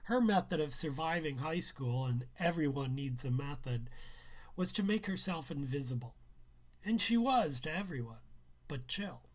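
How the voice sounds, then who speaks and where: A man reading a first-person novel aloud as its author, with deliberate storytelling phrasing. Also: fairly high pitch, animated.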